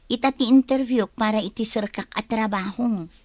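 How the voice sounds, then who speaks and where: Woman acting young woman, high voice, Ilocano Filipino accent, coaxing, easily miffed, audio drama. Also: normal speed, no room sound, close to an everyday voice. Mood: apologetic.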